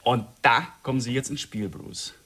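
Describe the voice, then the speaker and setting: An actor playing a cocky, menacing young killer holding hostages in a German radio drama, with a husky voice and a taunting, commanding manner. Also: male voice, mid-range voice, normal speed, a bit bright, close to an everyday voice. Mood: calm menace.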